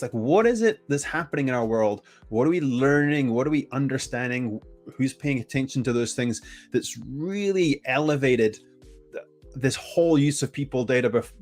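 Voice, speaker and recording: A man whose first language is English, giving a conference presentation with energy and often restarting his phrases. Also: medium pitch. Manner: energetic, self-correcting, frequent restarts. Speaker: conference speaker.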